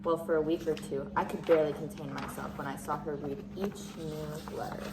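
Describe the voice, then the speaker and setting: A woman's voice: low-pitched, steady tone, brisk, lightly acted. A woman playing a mean, scheming, snobbish teenage cheerleader with a catty, scornful manner in amateur drama. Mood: gloating, catty. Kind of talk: amateur dramatic monologue reading. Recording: echoey room with clear noise.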